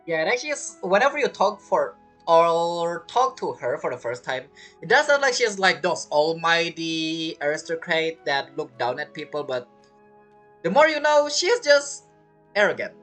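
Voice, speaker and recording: A woman streams a game with casual, chatty commentary. Her voice sits a little low and swings up and down in a lively way, full of teasing asides. Music plays clearly behind her. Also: moderate pace.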